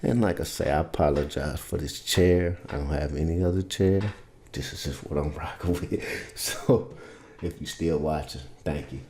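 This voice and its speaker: Male producer, bass-heavy voice, easygoing and rambling, roomy home-studio sound. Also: fairly even intonation, normal speed, mellow, a little hiss or hum. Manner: laid-back, rambling, loose and informal. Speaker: music producer teaching vocal mixing. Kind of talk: casual screen-share tutorial. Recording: roomy echo.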